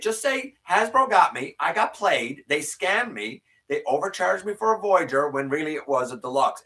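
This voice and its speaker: A man hosting a live stream, reading viewer comments and answering them in casual, opinionated chat, his voice sometimes a little raspy. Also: sharp tone, distant mic. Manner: opinionated, ranting with rising pitch when worked up.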